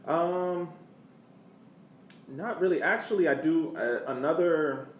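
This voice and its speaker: American guy on a sports podcast, kinda halting, repeats words, echoey room w/ a little noise. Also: a little high. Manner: halting, repeats words while searching for phrasing. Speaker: podcast co-host. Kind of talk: casual sports podcast chat. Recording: echoey room, distant mic, faint background noise.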